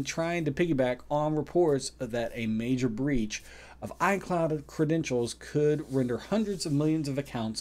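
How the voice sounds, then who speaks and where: A man's voice: a little high, a little mellow. A man hosting a vlog, talking conversationally to the viewer and giving advice, repeating his points for emphasis. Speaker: video host. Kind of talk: casual advisory vlog talk to camera.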